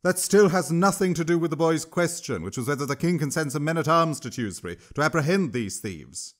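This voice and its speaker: A man's voice: on the high side, lively intonation, fairly quick, voice pushed up, natural voice. A man voicing an exasperated male royal minister who retorts indignantly.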